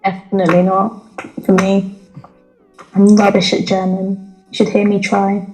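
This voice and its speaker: A woman's voice: medium pitch, monotone, unhurried, darkish tone, soft background music, poor audio. A woman from England, chatting casually and informally with friends. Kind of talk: casual group chat.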